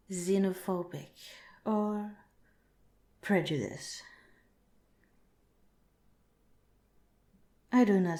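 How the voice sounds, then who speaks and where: A woman voicing a calm, curious alien researcher in a softly spoken, part-whispered ASMR roleplay, with formal, measured, clinical phrasing, in an echoing recording. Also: lowish voice. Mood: pedantic, curious. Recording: roomy, echoing voice.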